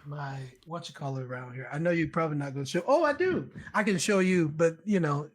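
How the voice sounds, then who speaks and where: Animated, laughing man from Alabama. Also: on the high side, fast, no room sound. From Birmingham, Alabama. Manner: animated storyteller, laughs often, bursts into excited quoted voices. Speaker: guest, concert composer. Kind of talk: casual conversation.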